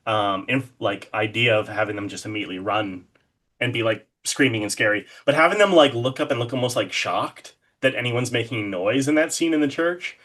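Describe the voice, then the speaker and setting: A man's voice: medium pitch, a little fast, fairly bright tone. A man speaking as an interview guest in a casual, rambling, informal way with lots of hedging. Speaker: interview guest, horror artist.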